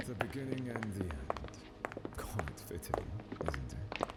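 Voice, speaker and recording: An actor playing a serene archangel who speaks in a measured, wistful and gently playful way. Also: male voice, deep voice, big pitch swings, loud music behind the voice, full character voice. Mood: musing. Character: serene archangel man, nostalgic, gently teasing.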